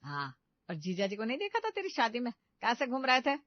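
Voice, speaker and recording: A woman playing the groom's middle-aged mother in an animated comedy story, exasperated, nagging and full of scheming instructions. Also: a little high, a little fast, no room sound. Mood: insistent. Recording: dull, lo-fi studio voice-over.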